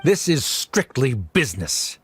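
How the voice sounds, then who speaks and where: A stern, haughty official in a video game. His voice is mid-pitched and moves around a lot as he speaks in formal, dismissive, condescending phrases. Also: sing-song, unhurried, breathy edge, faint music behind, exaggerated voice. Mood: stern, curt. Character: stern, cold male academy official, dismissive father. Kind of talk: scripted video game voice acting.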